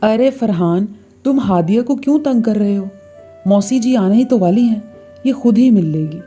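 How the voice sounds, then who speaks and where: A calm elder sister-in-law in an audio family tale, acted by a woman. Her very dark, mid-pitched voice gently chides and explains over music. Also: moderate pace, breathy edge. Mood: gently chiding.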